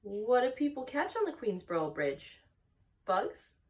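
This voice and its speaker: An eager, earnest young pig from a children's storybook, acted out by a woman reading aloud. The voice swings up and down with naive excitement and sounds a little away from the microphone. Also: mid-range voice, measured pace, far from the mic. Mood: curious. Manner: earnest, questioning, easily excited.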